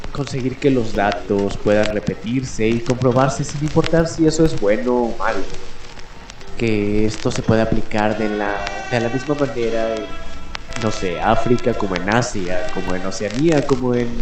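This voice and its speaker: A man hosting a radio show in character as a 1940s commentator, delivering a halting, reflective monologue full of rhetorical questions. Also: lowish voice. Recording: echoey voice over clear background music. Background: music.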